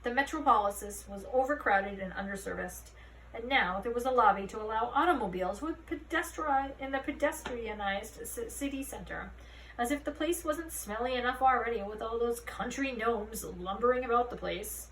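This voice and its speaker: Woman narrator, expressive children's-book reading, set back from the mic with faint noise. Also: a little high, normal speed, distant mic, low-quality recording. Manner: expressive storytelling, acting out dialogue. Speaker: read-aloud narrator. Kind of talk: reading a children's fantasy novel aloud.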